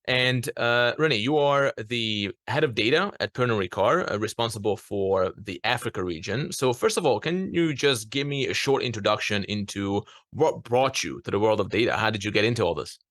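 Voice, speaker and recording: A man's voice: lowish voice, normal speed, few pauses, fairly bright tone, dry recording. A man from Hungary hosting a casual interview podcast, speaking with frequent hesitations and fillers. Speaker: podcast host.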